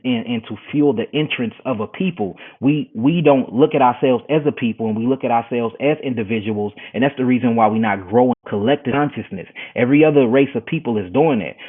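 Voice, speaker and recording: A man's voice: mid-range voice, husky. Lecturing man on a tinny line. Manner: earnest, lecturing, repeats words when starting a thought. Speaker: herbalist guest. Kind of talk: podcast interview answer. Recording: tinny phone-like sound.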